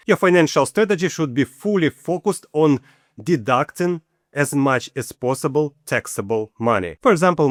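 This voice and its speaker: A man in his forties speaks English with a Ukrainian accent in a mid-pitched voice. He lays out costs and sums one step at a time in a matter-of-fact way. The recording is dry, with no room echo. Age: 40s. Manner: matter-of-fact, walks through figures step by step. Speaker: channel host. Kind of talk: personal finance vlog.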